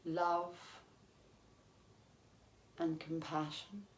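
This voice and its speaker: Slow, low-voiced woman guiding meditation. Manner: calm, measured, soothing, with long pauses. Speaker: yoga teacher. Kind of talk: guided meditation, yoga nidra instruction. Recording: roomy echo with faint background noise.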